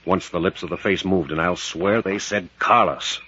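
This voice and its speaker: An actor playing a brave, rugged captain in a 1940s adventure radio play, clipped and plain-spoken. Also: male voice, sits low, moderate pace, sharp tone. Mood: uneasy, earnest. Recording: dull, noisy 1940s radio recording.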